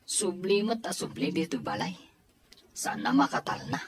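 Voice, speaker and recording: Actress as a lively, concerned Filipino younger sister. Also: female voice, mid-range voice, moderate pace, a little hiss or hum, close to an everyday voice. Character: concerned younger sibling confiding in mother. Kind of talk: Ilocano audio drama acting.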